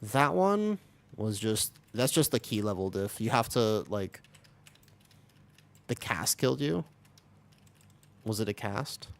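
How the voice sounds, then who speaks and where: Raspy-voiced man streaming gameplay. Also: fairly low pitch, expressive, slow, pauses often. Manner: chatty, explains tactics while playing, many fillers. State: recovering from being sick, raspy voice. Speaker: streamer playing a tank. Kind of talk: live gameplay commentary.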